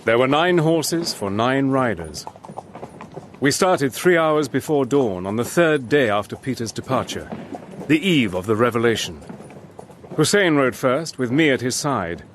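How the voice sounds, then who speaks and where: An actor playing a steady, soldierly adventurer, narrating his wartime story in a measured, grave manner. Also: medium pitch, a little hiss or hum, close to an everyday voice. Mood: grave, tense. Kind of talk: scripted dramatic narration.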